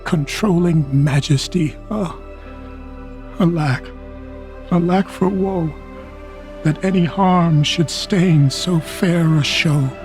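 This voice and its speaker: Cautioning elder nobleman, radio verse drama. Grave, slow, slightly high, with music underneath. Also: a little mellow. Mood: sorrowful awe. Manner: deliberate, grave verse delivery. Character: older noble uncle, grave, cautioning, sorrowful. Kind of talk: Shakespearean radio drama acting.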